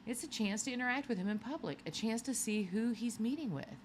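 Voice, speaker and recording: A woman's voice: on the high side, lively intonation, fairly quick, a little hiss or hum, voice pushed up, lightly acted. A woman voicing an eager, clever boy who works as a secret agent in a children's storybook.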